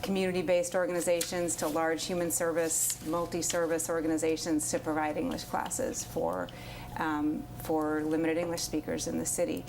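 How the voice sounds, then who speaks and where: A woman, an executive director, explaining her organization's work as an interview guest in a steady, informative way with frequent fillers. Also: low-pitched, normal speed, noisy background.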